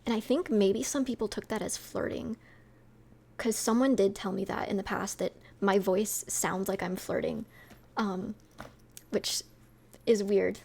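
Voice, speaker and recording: A woman's voice: medium pitch, steady tone, a little slow, vocal fry, darkish tone, slightly rough. A young woman with a sweet voice, chatting casually with her stream viewers, rambling with lots of fillers and light laughs.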